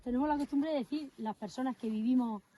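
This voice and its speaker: A woman with a slightly high voice presents an outdoor interview in the countryside. She talks in a lively, inviting way as she brings in her guests. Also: fast, slight background noise. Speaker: host.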